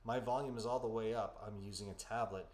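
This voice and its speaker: A man's voice: on the low side, steady tone, fast, darkish tone. A man co-hosting a live psychic podcast, giving readings and advice in a hesitant, reassuring way full of "um"s. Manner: hesitant, filler-heavy, reassuring. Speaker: psychic medium podcast co-host. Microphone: studio mic. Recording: echoey room with faint background noise.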